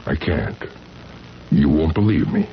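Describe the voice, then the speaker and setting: An actor playing a weary, distressed seventy-year-old husband in a 1970s radio drama, halting and repeating himself with quiet insistence. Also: male voice, rumbling low, big pitch swings, exaggerated voice. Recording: dull, noisy vintage radio recording.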